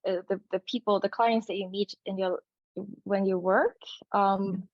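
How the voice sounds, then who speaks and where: A woman's voice: mid-range voice, fairly even intonation, unhurried, no room sound. A woman moderating a panel, asking questions in a conversational way. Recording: dry, muffled call audio.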